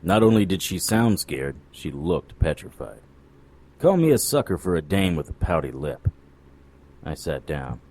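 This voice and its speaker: Male, noir occult detective, low husky voice, world-weary, sardonic, radio drama. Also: sing-song, measured pace, a little mellow, exaggerated voice. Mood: resigned. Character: cynical male hard-boiled occult private detective.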